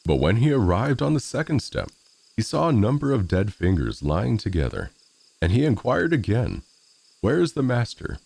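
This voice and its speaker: A man reading a storybook fairy tale in a measured, expressive read-aloud manner. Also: fairly low pitch, gravelly. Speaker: storyteller, narrator of fairy tales. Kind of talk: scripted storybook narration.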